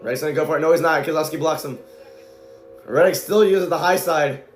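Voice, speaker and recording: Excitable amateur male race caller, high voice, hoarse from shouting, echoey room. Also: quick pace, soft background music. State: voice going hoarse from strain. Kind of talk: excited live play-by-play commentary.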